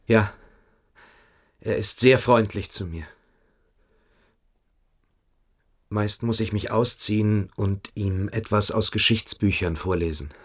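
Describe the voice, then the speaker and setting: An actor playing a submissive, evasive boarding-school pupil in a German radio play, hesitant and coquettish. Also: male voice, lowish voice, a little slow, husky. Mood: coy, confiding.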